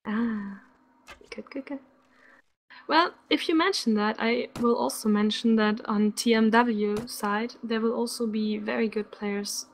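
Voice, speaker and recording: A woman commentating a live online game match, chatty and quick to laugh, sounding a little sick. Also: on the high side, fairly even intonation, vocal fry, slight background noise. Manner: chatty, laughs often, uses "like" a lot. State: sick, slightly rough voice. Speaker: esports commentator.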